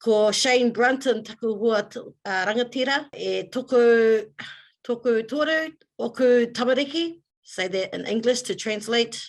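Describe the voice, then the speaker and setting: A woman from New Zealand gives a measured, formal introduction in a fairly high voice. She speaks steadily, like a guest introducing herself on a video call. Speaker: guest business owner. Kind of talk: formal Māori introduction, then casual talk.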